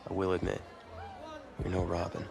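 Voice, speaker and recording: A man playing a young college football teammate in a video game drama, a loyal, teasing friend with a casual, gravelly delivery. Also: sits low, monotone, moderate pace, over music, crowd noise. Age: young adult. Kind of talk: naturalistic sports video game drama acting.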